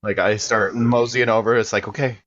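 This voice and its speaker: A man's voice: lowish voice, fairly even intonation, brisk, a bit bright, dry recording, lo-fi sound. A man playing a tabletop game, chatting casually and improvising as his character. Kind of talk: casual improvised role-play chat.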